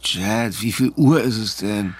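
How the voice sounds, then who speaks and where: An actor playing a weary, sympathetic investigator, hesitant and low-key, in a German radio drama. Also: male voice, a little slow, a little hiss or hum, close to an everyday voice. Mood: sleepy, disappointed. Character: weary, sympathetic male investigator woken early.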